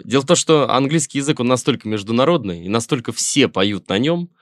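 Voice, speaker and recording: A man's voice: medium pitch, expressive, fairly quick, no echo. A middle-aged Russian man, a musician guest in a casual interview, talking wryly in rambling sentences with many fillers and restarts.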